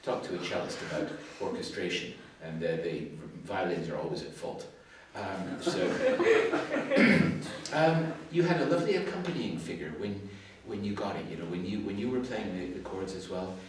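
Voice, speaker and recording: Older man, suffolk english accent with canadian traces, adjudicator, chatty and digressive, lowish voice, very echoey distant hall. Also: normal speed, crowd noise. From England, early life in Canada. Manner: chatty and digressive, self-deprecating asides. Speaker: competition adjudicator. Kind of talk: spoken feedback to performers. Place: school music hall.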